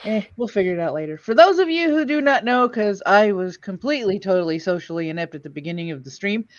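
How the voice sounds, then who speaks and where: A woman's voice: mid-range voice, lively intonation, quick pace, no room sound, muffled recording. A woman hosting a casual live stream, chatting in a jokey, informal way. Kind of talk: casual chat, reacting to old radio shows.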